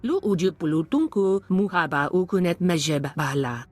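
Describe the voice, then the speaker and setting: A man's voice: sits high, expressive, normal speed, a little mellow, soft background music. A man voicing an alien that speaks an invented tongue in a video game. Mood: agitated. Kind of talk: video game creature dialogue.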